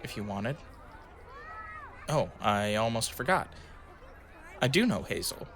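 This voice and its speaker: A man's voice: on the low side, very animated, takes it slowly, fairly bright tone, a faint crowd, heavily acted. A man acting in an audio drama as a reflective storyteller and his shy, self-conscious younger self. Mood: shy, halting. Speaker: narrator and lead actor telling his own story. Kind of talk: audio drama narration and acted dialogue.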